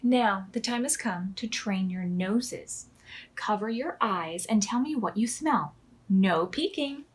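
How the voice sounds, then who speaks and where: A woman's voice: mid-range voice, animated, slow pace, breathy edge. A woman voicing a know-it-all male pastry chef who instructs beginners in a children's storybook. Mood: patient instructing. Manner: boastful, instructing.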